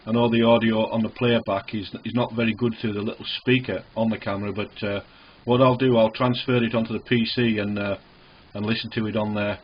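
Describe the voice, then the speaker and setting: Male amateur radio operator, casual and reassuring, slightly husky, dull lo-fi sound. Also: on the low side, flat delivery, a little fast. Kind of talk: casual ham radio contact with call signs. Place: small indoor radio shack. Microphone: small camera near the radio's speaker. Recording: dull, muffled camcorder recording in a radio shack.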